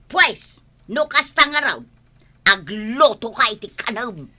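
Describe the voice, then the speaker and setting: A woman's voice: fairly high pitch, lively intonation, slow pace, pushed and bright, full character voice. A woman with an Ilocano accent playing a pushy, nagging village wife in a comedy radio drama.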